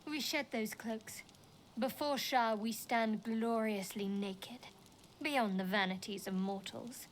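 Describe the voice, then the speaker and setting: Guarded, higher-voiced young priestess. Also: female voice, steady tone, a little hiss or hum, low-quality recording. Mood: solemn, fervent. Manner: measured, cool, guarded. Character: guarded, devout young woman cleric of a dark goddess. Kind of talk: video game dialogue acting.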